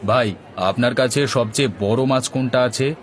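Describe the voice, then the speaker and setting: A man's voice: medium pitch, normal speed, a faint crowd, slight background noise, close to an everyday voice. A man voicing a henpecked village husband in a cartoon, placating and grumbling with dry jibes. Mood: businesslike. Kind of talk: Bangla cartoon voice acting.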